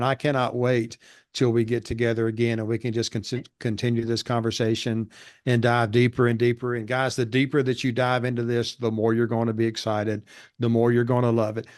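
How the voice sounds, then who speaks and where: A man with a monotone voice, a real estate educator giving steady explanations in a casual interview. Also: mid-range voice. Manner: steady explanatory delivery with fillers.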